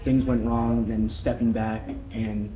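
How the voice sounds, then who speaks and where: A man's voice: on the low side, little pitch movement, slow, warm tone, soft background music. A young man, a student, answering interview questions hesitantly, with many fillers. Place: event venue. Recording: roomy with faint background hum.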